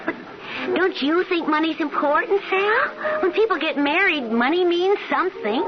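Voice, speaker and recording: An actress playing a gentle, evasive young sweetheart in a radio drama, hesitant and pleading. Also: female voice, very high-pitched, big pitch swings, moderate pace. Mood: anxious, pleading. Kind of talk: old radio drama acting. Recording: dull lo-fi radio recording with loud music.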